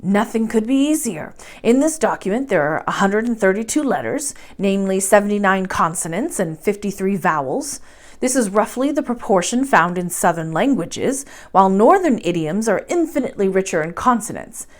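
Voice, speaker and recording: A woman voicing an excitable, obsessive old male professor in a storybook reading, speaking emphatically. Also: mid-range voice, moderate pace, lightly acted. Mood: emphatic. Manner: emphatic, declaiming.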